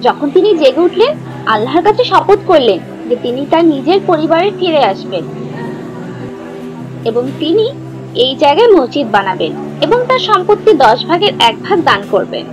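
Female storyteller for children's cartoon narration, very high and highly animated, music underneath. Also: moderate pace, dull audio. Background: background music.

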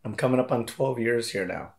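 A man's voice: medium pitch, brisk, vocal fry. An American man in his late thirties from Hawaii, casually telling his life story step by step. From Hawaii, United States. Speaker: interviewee. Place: indoor living room, seated in armchair.

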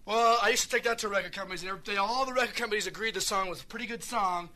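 High, bright-voiced rambling street singer man. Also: rapid-fire. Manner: rambling, earnest, many restarts. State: a little hoarse. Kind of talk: rambling spoken song introductions.

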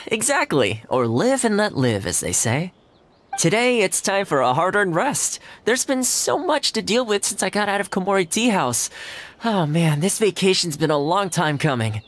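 A man's voice: high voice, big pitch swings, moderate pace, a bit bright. An actor playing a friendly young man in an anime video game, cheerful, polite and laid-back. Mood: easygoing. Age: young adult. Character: friendly, easygoing young male anime housekeeper. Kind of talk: scripted video game dialogue.

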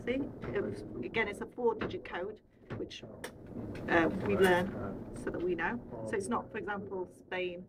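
A woman's voice: medium pitch, normal speed. A young woman giving an informal explanatory talk to a group, often checking that they follow with tag questions. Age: young adult. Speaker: air traffic controller giving a tour. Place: indoor radar control room. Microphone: handheld camera a short distance away. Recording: distant mic, loud room noise.

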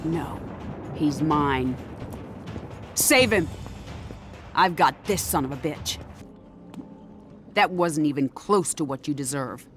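A woman playing a tough, no-nonsense military officer in a video game, curt and forceful. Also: deep voice, slow pace, long pauses, faint music behind. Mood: fierce, defiant. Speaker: voice actor in a fighting game. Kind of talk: cinematic game dialogue. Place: studio recording with music behind.

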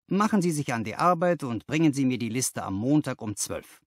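A man voicing a brisk, patronising company boss in an audiobook, businesslike and used to giving orders. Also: medium pitch, expressive, no echo, studio quality, close to an everyday voice. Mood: brisk, commanding. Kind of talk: audiobook character dialogue.

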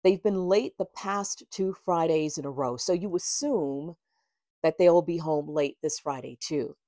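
Lower-pitched middle-aged woman, measured delivery. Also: dark tone, no room sound, clean studio sound. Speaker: author and tarot reader. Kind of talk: reading aloud from own book with casual asides. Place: small book-lined room indoors.

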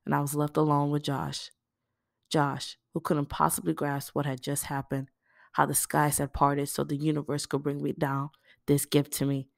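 A woman's voice: low-pitched, monotone, normal speed, vocal fry, no echo, studio quality. A woman reading a first-person novel aloud as scripted narration. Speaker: audiobook reader.

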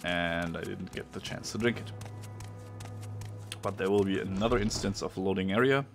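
Casting: German-accented male gamer, very low gravelly voice, chatty, searches for words, faint music under him. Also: moderate pace. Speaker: gameplay streamer. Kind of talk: casual let's-play commentary.

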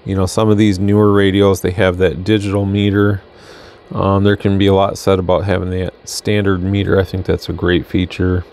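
A man's voice: sits low, monotone, moderate pace, darkish tone, a little hiss or hum. An American man giving a casual product demo as a reviewer, talking in a rambling, conversational way. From Michigan, United States. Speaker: radio reviewer.

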